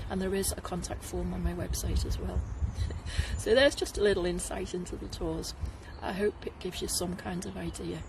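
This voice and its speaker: A woman's voice: mid-range voice, background noise. A middle-aged woman with a Liverpool accent, a tour guide chatting casually to the camera outdoors and laughing often. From Liverpool, England. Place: outdoors at a garden site.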